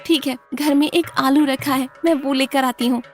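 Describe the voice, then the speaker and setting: A woman's voice: high-pitched, steady tone, normal speed, faint music behind, voice pushed up, lightly acted. A woman voicing a dutiful, curious village wife in a cartoon story, with an eager, deferential manner. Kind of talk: Hindi cartoon story dialogue.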